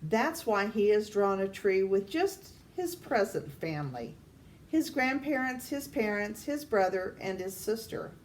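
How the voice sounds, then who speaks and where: Slow-reading older woman, distant mic. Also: medium pitch, lively intonation. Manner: deliberate, addressing young listeners with questions. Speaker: storytime reader. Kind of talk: reading a children's picture book aloud. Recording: distant mic, faint background noise.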